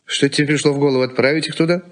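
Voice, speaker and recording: An actor in a Soviet-era radio play, playing a busy, touchy middle-aged husband who grumbles and talks in a curt, sardonic way. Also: male voice, a little high, steady tone, racing, natural voice. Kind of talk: Soviet 1980s radio drama acting.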